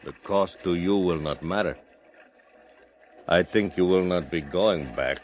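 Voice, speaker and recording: An actor playing a treacherous half-breed guide who speaks clipped, broken English in a 1950s radio drama. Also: male voice, low voice, unhurried, dull audio, faint music behind. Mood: calm menace.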